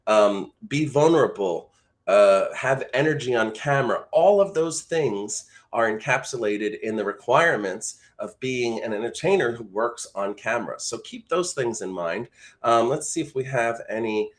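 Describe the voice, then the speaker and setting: A man's voice: medium pitch, expressive, normal speed. A man hosting a live voice acting lesson, talking casually and encouragingly and reading practice lines aloud. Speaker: voice acting coach and livestream host. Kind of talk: casual live teaching with read-aloud demonstrations.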